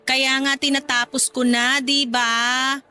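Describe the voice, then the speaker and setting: Bright, high-voiced jealous young woman. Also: level tone, takes it slowly, soft background music, exaggerated voice. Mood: sulky, defensive. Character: jealous, sulky young woman quarrelling with her partner. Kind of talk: Tagalog radio drama acting.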